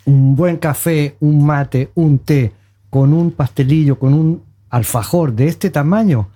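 A man's voice: fairly high pitch, slow pace, dark tone. A man in his seventies chatting casually as a panelist on a show. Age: 70s. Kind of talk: casual chat.

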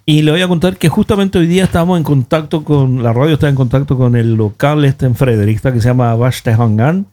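A man's voice: medium pitch, a little fast, hardly any pauses, dark tone. A man hosting a radio show, chatting casually with his guests as he interviews them. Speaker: radio host.

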